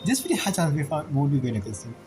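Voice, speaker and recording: A man giving casual, halting gameplay commentary, thinking aloud as a streamer. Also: a little high, big pitch swings, warm tone. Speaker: game streamer. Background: game music.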